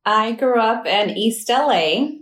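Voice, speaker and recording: A woman from Mexico who works in insurance, answering interview questions in an unhurried, plain way. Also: a little high, lively intonation. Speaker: insurance staff member.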